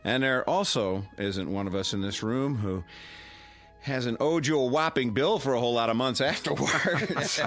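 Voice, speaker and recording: An American actor playing a genial, sentimental middle-aged neighbor who makes a halting, teasing after-dinner speech in a radio drama. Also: male voice, mid-range voice, fairly bright tone, faint music behind, close to an everyday voice. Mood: warm teasing.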